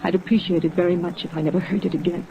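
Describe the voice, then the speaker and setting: An actress playing a guarded, distressed widow, polite and hesitant, in a 1950s radio drama style. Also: female voice, on the low side, fairly even intonation, very fast, mellow, soft background music, natural voice. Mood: quietly inviting. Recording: dull vintage radio recording, background murmur.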